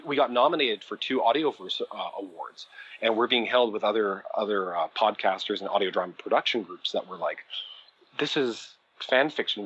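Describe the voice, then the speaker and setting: A man's voice: lowish voice, a bit bright, lo-fi sound, slight background noise. A man, an audio drama producer and writer, chatting as a podcast guest in a rambling, self-deprecating way full of fillers and small laughs. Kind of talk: casual interview chat.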